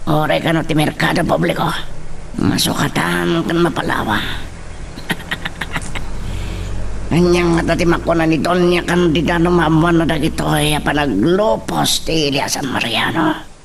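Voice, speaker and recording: A man's voice: a little high, creaky, a little hiss or hum. A man with an Ilocano accent playing a chatty, deferential aide to a rich madam in an audio drama.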